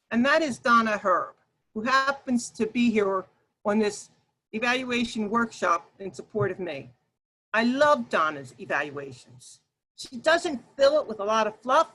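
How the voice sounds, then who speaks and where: A woman presenting an online workshop over a video call, talking loudly and emphatically and addressing her audience directly. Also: on the high side, slight background noise, poor audio.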